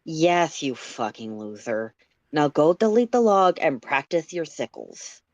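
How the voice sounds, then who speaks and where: A woman's voice: sits low, very animated, unhurried, dull audio. Woman as a curt, exasperated young troll guy. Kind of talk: amateur dramatic reading of fan fiction.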